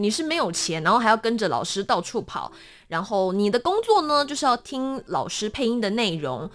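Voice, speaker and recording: Animated Taiwanese woman telling stories. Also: mid-range voice. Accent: Taiwanese Mandarin. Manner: animated, dramatic storyteller. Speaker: voice actor guest. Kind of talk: conversational interview storytelling.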